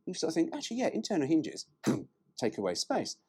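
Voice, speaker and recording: A man's voice: fairly high pitch, wildly swooping pitch, a little fast, darkish tone, a little hiss or hum. A man reading a brochure aloud as a presenter, dropping in cheeky, irreverent, sweary asides.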